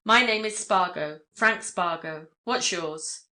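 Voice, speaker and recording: Woman as brisk young newspaperman, bright, forceful. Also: lowish voice. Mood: dry, courteous.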